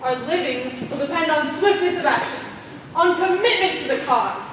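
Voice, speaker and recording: A woman acting in a live stage play as an imperious, scheming committee president, making pompous, deliberate speeches. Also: high-pitched, a bit bright. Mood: solemn, grandiose. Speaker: stage actor. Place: indoor theater stage, recorded from afar. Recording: echoey theater hall, distant mic, faint noise.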